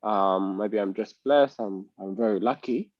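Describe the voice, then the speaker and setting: A man in his thirties from Sudan, answering interview questions in a measured, reflective way. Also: lowish voice, no echo, muffled recording. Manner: measured and reflective, pausing to gather thoughts. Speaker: interview guest.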